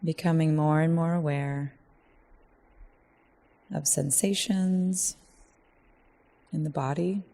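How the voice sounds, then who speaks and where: A woman leading a guided meditation in a gentle, measured way, leaving long pauses. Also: sits low, fairly even intonation.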